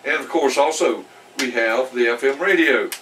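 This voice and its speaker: A man giving a casual hands-on product demonstration in a folksy, rambling, halting way. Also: medium pitch, a bit bright. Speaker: product reviewer. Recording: distant mic with faint background noise.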